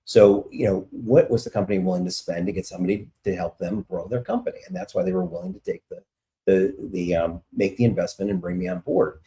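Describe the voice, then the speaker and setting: Middle-aged man, interview guest, low voice, dark mellow tone, emphatic. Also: animated, fairly quick. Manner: emphatic, reasons out loud with restarts. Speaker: guest, relocation author. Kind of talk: casual interview answers.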